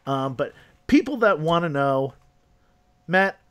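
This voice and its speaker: A man from New Jersey hosting a loose, casual chat show. He talks at an unhurried, slow pace, rambling through fillers and restarts and laughing often. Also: on the high side, very animated, a bit bright, clean tone, dry recording. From New Jersey, USA. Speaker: podcast host.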